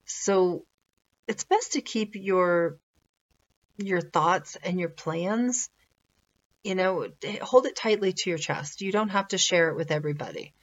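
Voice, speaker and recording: A woman giving informal motivational advice in a conversational, halting way, repeating phrases for emphasis. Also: fairly low pitch, lo-fi sound. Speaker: motivational spiritual speaker.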